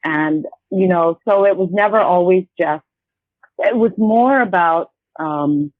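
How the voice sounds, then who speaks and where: A woman's voice: on the low side, slow pace, no room sound. A woman in her fifties, a guest in a casual interview, talking in a rambling, hesitant way with many restarts over a tinny phone-like line. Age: 50s.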